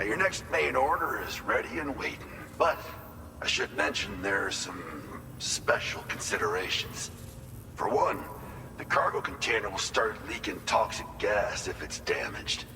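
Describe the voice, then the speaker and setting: A man's voice: lowish voice, lively intonation, a little slow. An actor playing a folksy, informal man handing out delivery orders in a video game. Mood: friendly, encouraging. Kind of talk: scripted game dialogue, conversational briefing. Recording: long reverb, clear background noise.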